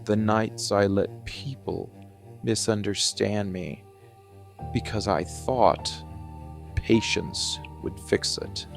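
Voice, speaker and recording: A man playing a cold, embittered dark-fantasy villain in an ASMR monologue, speaking deliberately with heavy pauses. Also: on the low side, steady tone, gravelly, soft background music. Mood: bitter, brooding.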